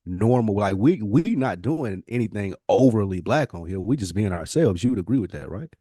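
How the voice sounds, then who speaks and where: Male podcast co-host, lower register, relaxed slangy banter, dry studio-tight sound. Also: brisk, breathy edge, dry recording. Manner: laid-back slangy talk. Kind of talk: casual podcast conversation.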